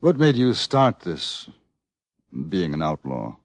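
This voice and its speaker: An actor playing a composed English newspaper correspondent on the American frontier, speaking in the measured, formal style of old radio drama narration. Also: male voice, low voice, very animated, a little mellow, no room sound, heavily acted. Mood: quietly curious. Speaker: narrator and interviewer. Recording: old radio broadcast with music underneath.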